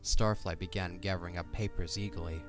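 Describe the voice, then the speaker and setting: Deep guy narrating an audio drama, scripted, faint music underneath. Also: fairly even intonation, a little fast.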